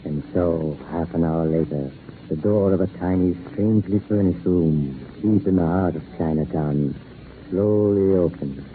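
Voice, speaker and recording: An actor playing an eerie doctor who tells strange horror tales, sinister and deliberate, as 1940s radio narration. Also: male voice, sits low. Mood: ominous. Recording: noisy narrow-band 1940s radio recording.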